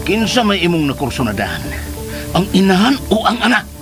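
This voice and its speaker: Radio drama villain: hot-headed Cebuano lecher, melodramatic and badgering, extremely volatile pitch, music behind. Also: male voice, big pitch swings, a little fast. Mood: angry, demanding. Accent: Filipino Cebuano. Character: hot-headed, jealous, lecherous male troublemaker. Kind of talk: Philippine radio drama acting.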